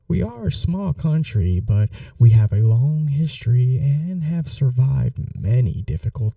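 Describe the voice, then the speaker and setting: A man playing a gentle, old-world vampire gentleman in an intimate ASMR roleplay, speaking in a polite, soothing, softly formal way. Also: mid-range voice, gravelly, dry recording, far from the mic, full character voice. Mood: earnest, wistful.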